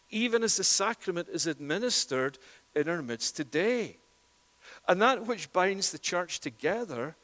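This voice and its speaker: A man's voice: high-pitched, long reverb tail. A man preaching a sermon as pastor, earnest, with a recurring "it is that" turn of phrase.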